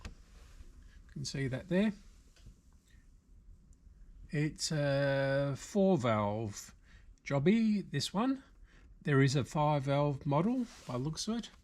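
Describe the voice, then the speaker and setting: An Australian man giving casual, unscripted hands-on repair commentary, halting now and then as he thinks aloud. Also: on the high side, animated, darkish tone. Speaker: video host, radio repairer. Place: indoor workshop.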